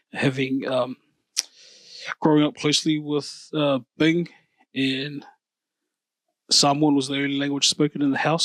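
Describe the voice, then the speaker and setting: A man, a podcast co-host answering questions thoughtfully, pausing and correcting himself. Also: fairly high pitch, fairly bright tone, dry recording, rough recording. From New Zealand. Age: 30s. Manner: thoughtful, hesitant, self-correcting. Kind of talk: reflective interview answers.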